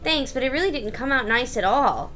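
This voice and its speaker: Prickly little schoolgirl for visual novel, played by a woman: bratty, very high-pitched, snappish, soft music behind. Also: expressive, a little fast. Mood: huffy, snappish. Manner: blunt, huffy, snappish. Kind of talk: visual novel voice-over read aloud.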